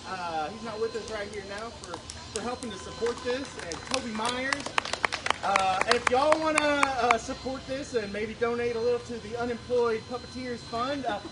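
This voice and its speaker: A high-pitched man plays host and storyteller at a live show. He talks in a folksy, down-home manner with colloquial country grammar. The microphone is some distance away, and there is clear background noise. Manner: folksy, colloquial rural grammar and drawl phrasing. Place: live show at an art guild event. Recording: distant mic, noisy live event ambience.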